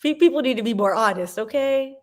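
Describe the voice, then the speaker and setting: A woman in her forties casually chatting to viewers and reading comments, with animated emphasis and sudden jumps in pitch. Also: a little fast. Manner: talks to viewers directly, sips wine.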